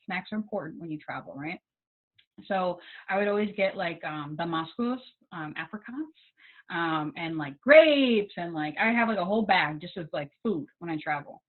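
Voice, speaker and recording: A woman's voice: lowish voice, animated, moderate pace. A young American woman, a guest English teaching assistant, chatting casually with students and filling her talk with ums and likes. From United States. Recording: tinny phone-like video call audio.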